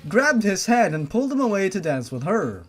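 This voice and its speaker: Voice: medium pitch, very animated, fairly quick. A host reading fan fiction aloud and breaking in with sarcastic, exasperated, sweary commentary. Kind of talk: story reading with mocking commentary.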